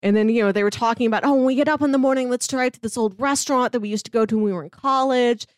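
A woman with a fairly high voice recaps a story in casual podcast chat. She talks animatedly, acts out quotes in little voices and throws in quick asides. The sound is dry and close, with no room echo. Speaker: podcast co-host.

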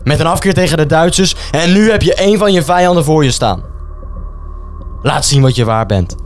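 An actor playing a stern, contemptuous Nazi collaborator in a radio drama, speaking in a hard, resolute, taunting way. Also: male voice, a little high, expressive, moderate pace, close to an everyday voice. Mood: taunting, heated. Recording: clear background noise and ambience.

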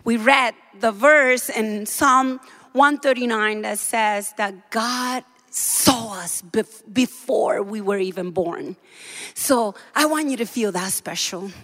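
A woman from the Dominican Republic preaching a sermon in a church, fervent and building to shouts. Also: mid-range voice. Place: church sanctuary.

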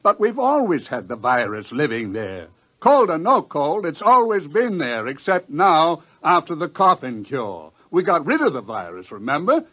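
An actor in an old radio drama playing an impatient, matter-of-fact scientist who explains things emphatically on a lo-fi recording. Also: male voice, a little high, huge pitch swings, moderate pace, fairly bright tone, slightly rough, exaggerated voice. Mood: impatient, grimly certain. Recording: dull lo-fi old radio sound.

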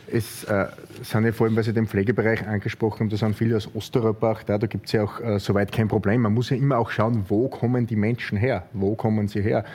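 A man, a politician arguing heatedly in a debate, forceful and repetitive. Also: lowish voice, slightly rough. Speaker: politician guest.

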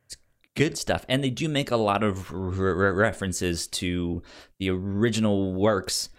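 A man's voice: low-pitched, measured pace. A man hosting a podcast in casual, informal chat, repeating words for emphasis. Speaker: podcast host.

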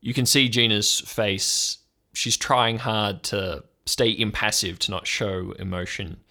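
A man's voice: fairly low pitch, fairly even intonation, slow, pushed and bright. A man acting as game master, narrating an improvised audio drama.

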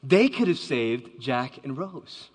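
A man's voice: mid-range voice, sing-song, slow pace, slightly rough. A man giving a dramatic storytelling talk to a live audience, acting out the voices he quotes. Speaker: speaker. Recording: long hall reverb.

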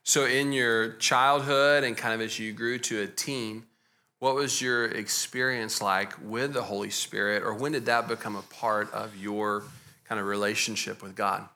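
A man's voice: on the low side, fairly even intonation, moderate pace, sharp tone. A young man with a Texas accent, a pastor leading a church interview, friendly and joking, with hesitant restarts. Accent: Texan. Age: young adult.

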